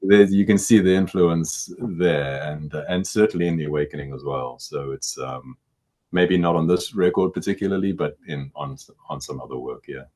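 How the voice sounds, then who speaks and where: A middle-aged man with a baritone voice, a musician answering interview questions in a casual, halting way, often repeating words as he thinks. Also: a little mellow.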